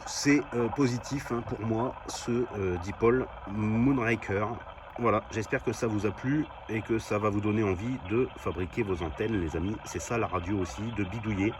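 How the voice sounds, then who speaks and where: A man giving a casual, down-to-earth hands-on demonstration as the host of a hobby vlog. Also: lowish voice. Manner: informal, down-to-earth, often tagging phrases with "hein". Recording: noisy field recording.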